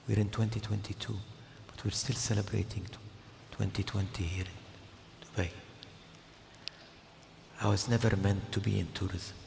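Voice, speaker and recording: An older man from Jordan speaks slowly in a very deep, gravelly voice with a flat, even delivery. He gives a formal speech, pausing between phrases. The sound is echoey and comes from a large hall. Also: slight background noise. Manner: slow and measured, with pauses. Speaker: guest speaker. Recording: echoey hall, heard from a distance.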